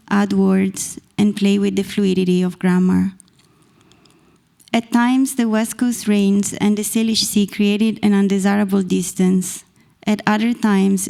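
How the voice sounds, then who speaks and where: Brief: Italian-accented woman in her thirties, poet reading measured verse, warm low tone with some fry. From Italy. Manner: measured, deliberate reading from a page. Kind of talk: slow poetry reading. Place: small stage in a dark performance venue. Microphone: stand mic close to the mouth.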